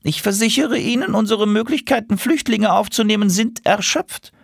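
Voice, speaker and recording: A man's voice: normal speed, gravelly, slightly rough, no room sound, studio quality, full character voice. A man voicing a stern, pompous grey-blue male pigeon who speaks in an officious, fussy tone. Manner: fussy, officious, high-pitched.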